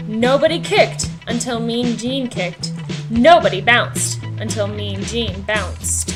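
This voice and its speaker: A woman reading a children's picture book aloud in an expressive, dramatic, sing-song way, as a storyteller. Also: on the high side. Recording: voice-over with music underneath. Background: background music.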